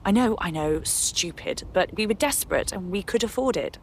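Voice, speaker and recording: A woman's voice: mid-range voice, lively intonation, moderate pace, natural voice. Brief: worried woman seeking help, voiced by a woman, faint noise behind. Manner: worried, pleading. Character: anxious woman asking for help.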